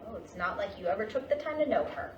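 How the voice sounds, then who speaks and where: A woman's voice: mid-range voice, animated, fast, dull audio, natural voice. A woman acting a grieving, defensive middle-aged mother in a naturalistic staged play reading. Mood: hurt, defensive. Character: grieving, defensive, weary mother mourning her elderly mother. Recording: roomy distant stage recording, faint noise.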